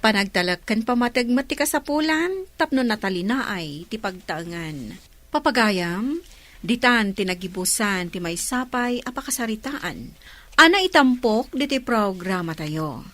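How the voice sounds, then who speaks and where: Animated, unhurried woman radio drama announcer. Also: medium pitch. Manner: measured, declamatory. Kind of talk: scripted narration and credits.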